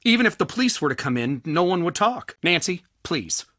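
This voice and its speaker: A man's voice: high voice, sing-song, brisk, pushed and bright, dry recording, clean studio sound, heavily acted. A man playing an eccentric, anxious, bookish museum curator in a video game, with a theatrical, wordy and wryly dramatic delivery. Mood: worried. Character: eccentric, bookish, anxious male culture center curator Soren.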